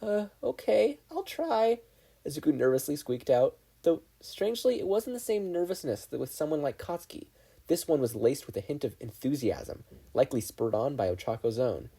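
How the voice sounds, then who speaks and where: A story narrator with a soft, mellow tone and a slightly husky edge. The reading is expressive, with pitch that moves a great deal from phrase to phrase. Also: a little fast. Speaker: podfic narrator. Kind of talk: animated scripted story narration.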